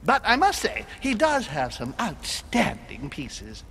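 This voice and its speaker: An actor playing a genteel, courteous aristocratic host with a courtly, theatrical manner in a video game. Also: male voice, high-pitched, wildly swooping pitch, slow pace, creaky, a bit bright, slight background noise, exaggerated voice. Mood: amused, gracious. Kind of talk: video game cutscene acting.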